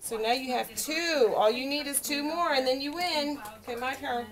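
A woman's voice: high-pitched, moderate pace, rough recording. A woman in her thirties, a mother at a kitchen table at home, patiently walking her young daughter through a board game, reading the rules aloud and counting the moves.

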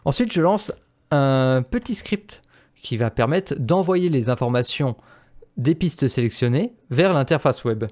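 A man's voice: medium pitch, animated, measured pace, darkish tone. A man presenting an instructional screencast as a voice-over. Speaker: tutorial presenter.

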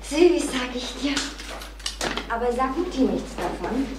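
Breezy young daughter, high and distant. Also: female voice, lively intonation, measured pace. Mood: breezy, chatty. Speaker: actress in a radio crime play. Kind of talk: German radio crime drama acting. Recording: distant, echoey, noisy room sound.

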